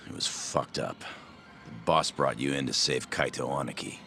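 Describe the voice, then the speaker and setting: A man's voice: extremely low pitch, moderate pace, creaky, music in the background. A man playing a sullen, bitter yakuza underling in a video game cutscene, speaking in a curt, brooding way. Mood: subdued, bitter.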